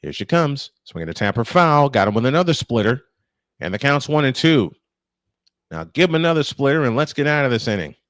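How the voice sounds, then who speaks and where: A man's voice: medium pitch, very animated, fairly quick, a bit bright, no echo. Male baseball play-by-play announcer, dry close sound. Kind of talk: live sports commentary.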